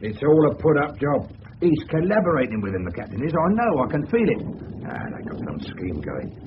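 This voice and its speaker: Chatty suburban English neighbour, colloquial, very varied pitch, noisy old radio play. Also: male voice, huge pitch swings, brisk, dull audio. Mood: suspicious, insistent. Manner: colloquial, hesitant, homely. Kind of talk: 1970s radio drama acting.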